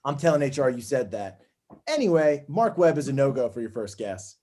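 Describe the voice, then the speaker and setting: Man playing a lively, dismissive TV boss. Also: medium pitch, brisk. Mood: flippant. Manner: blunt, breezily condescending. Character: crude, dismissive male TV network boss, glib and bossy. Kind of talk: comedy table read.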